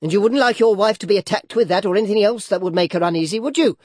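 An indignant old storybook mother-in-law, put on by a man, high in pitch and huffy. She pushes her challenges quarrelsomely, with some fear underneath. The recording is dry. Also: fast talker, darkish tone, dry recording.

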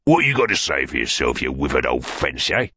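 A man's voice: a bass voice, big pitch swings, fairly quick, sharp tone, no echo, studio quality, voice pushed down, exaggerated voice. A man voicing a brutish, bitter London criminal in an audiobook, growling and surly with a Cockney accent. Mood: angry. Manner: surly, demanding. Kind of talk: audiobook character acting.

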